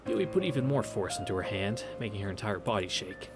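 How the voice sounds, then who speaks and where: A man with a fairly low voice reads a visual novel aloud for an audience. He slips between story narration and casual, irreverent, sweary commentary. Game music plays clearly behind him. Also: very animated, a little fast. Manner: irreverent, profane. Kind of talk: read-through with casual asides.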